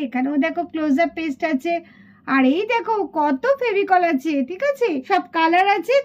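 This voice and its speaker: A woman voicing an instructive schoolteacher doll in a children's puppet cartoon. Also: extremely high pitch. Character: female schoolteacher doll running a contest. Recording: dull lo-fi sound.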